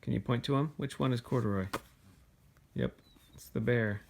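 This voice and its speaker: Father reading aloud, male, gentle and conversational, storybook narration mixed with casual questions for a child. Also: mid-range voice, normal speed, vocal fry, slight background noise.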